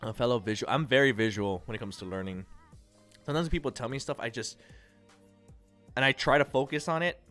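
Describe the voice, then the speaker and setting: A stuffy-nosed man with a middling pitch talks loosely to his stream, wandering between thoughts with easy, self-mocking asides. Faint music plays quietly beneath his voice. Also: brisk, pauses often. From United States. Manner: rambling, relaxed, self-deprecating, frequent fillers and laughs. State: congested and nasally. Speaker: livestream host. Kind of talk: casual chat reading and answering viewer chat.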